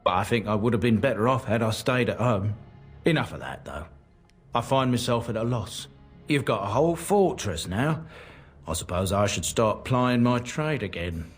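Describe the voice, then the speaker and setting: A man's voice: on the low side, very animated, normal speed, breathy edge, faint music behind, heavily acted. A man voicing a modest, practical dwarf merchant in a fantasy game, plain-spoken and earnest. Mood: reflective.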